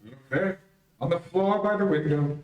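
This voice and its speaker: An actor playing a calm, knowing, commanding invisible crime fighter in an old-time radio melodrama. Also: male voice, on the high side, moderate pace, dull audio, lightly acted. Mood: calm, grave. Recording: echoey room.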